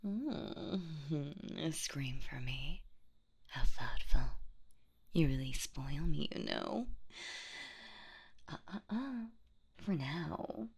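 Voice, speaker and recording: Woman voicing a slow, breathy, creaky monster seductress of horror. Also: expressive, full character voice. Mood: teasing menace. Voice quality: breathy, husky whisper with creaky low notes. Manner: slow, teasing, menacing, mock-sweet. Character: sadistic, playful female boogeywoman monster hunting humans. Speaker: audio roleplay voice actor. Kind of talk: whispered horror audio roleplay monologue.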